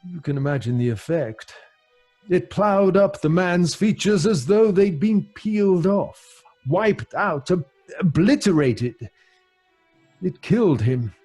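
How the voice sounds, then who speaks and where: A husky English father storyteller. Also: high-pitched, animated, takes it slowly, warm tone, soft background music, exaggerated voice. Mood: grave storytelling. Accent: British English. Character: genial middle-aged English father relishing a grim ghost legend. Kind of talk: old-fashioned radio drama acting.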